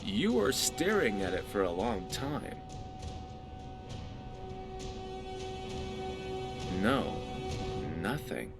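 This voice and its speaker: A man's voice: mid-range voice, a bit bright. A man playing a wry, curious, easygoing pirate companion in a video game, talking in a sardonic, conversational way. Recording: background music under the voice.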